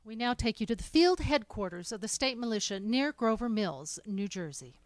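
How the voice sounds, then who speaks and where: An actress playing a flustered radio news announcer who reads urgent bulletins in a formal, halting way. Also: female voice, on the high side, animated, no echo, studio quality, natural voice. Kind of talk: old-time radio news bulletin acting.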